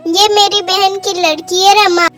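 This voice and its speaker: Cartoon granny neighbor, busybody matchmaker, extreme high pitch, voiced by a woman. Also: steady tone, a little slow, a bit bright. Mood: coaxing. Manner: chatty, coaxing. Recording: clear music bed under the voice.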